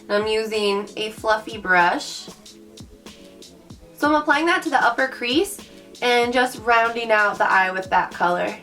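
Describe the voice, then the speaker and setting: A young woman with a raspy voice from recent illness, chatting bubbly through a makeup tutorial and calling her viewers guys. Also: a little high. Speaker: beauty vlogger. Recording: mic at a distance, soft background music.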